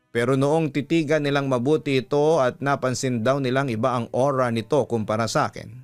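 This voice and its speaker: A man's voice: medium pitch, no room sound. A man with a Filipino accent reading a listener's letter as a radio-style storytelling narration. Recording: music playing behind the voice. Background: background music.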